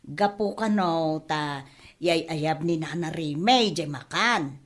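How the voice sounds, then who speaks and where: A woman's voice: deep voice, slow. A woman acting a gossipy village neighbour in an Ilocano comedy radio drama, with a Filipino Ilocano accent. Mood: gossiping.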